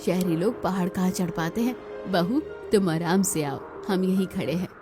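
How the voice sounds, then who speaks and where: A woman's voice: lowish voice, moderate pace, over music, lightly acted. A woman voicing a kind older village mother-in-law in a cartoon story. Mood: protective.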